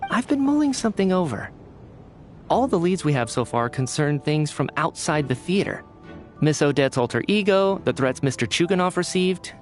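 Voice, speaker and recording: Curious, analytical young male scientist, fairly high and expressive, precise wording, video game mystery scene. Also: moderate pace, faint music behind. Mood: pondering. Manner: articulate, analytical.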